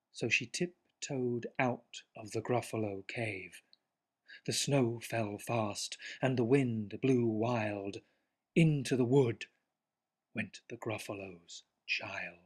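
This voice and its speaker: A storyteller reading a children's book aloud as an animated bedtime tale. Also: medium pitch, slow. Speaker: storybook reader.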